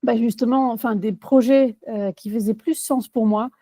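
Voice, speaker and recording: A woman's voice: fairly high pitch, fairly even intonation, normal speed, warm tone, no echo. Dutch woman, hesitant interview answers. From Netherlands. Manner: hesitant, many fillers and restarts. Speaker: career coach.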